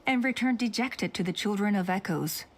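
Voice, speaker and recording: A woman voicing a calm, cautious woman elder of a tribe in a video game, speaking in a measured, cautioning way. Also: mid-range voice, slight background noise, lightly acted. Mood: recounting.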